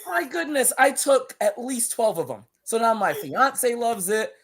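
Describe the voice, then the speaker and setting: A man's voice: very high voice, measured pace, breathy edge. A man from Barbados, a wrestler guest on a podcast, talking casually and telling stories with lots of laughter and repeated words. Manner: animated storytelling, laughing often, repeats words.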